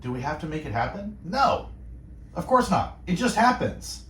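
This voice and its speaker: Soothing man with a lively, higher voice. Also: moderate pace, echoey room. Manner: calm, soothing, meditative, musing aloud. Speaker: radio host. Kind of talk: gentle devotional radio talk.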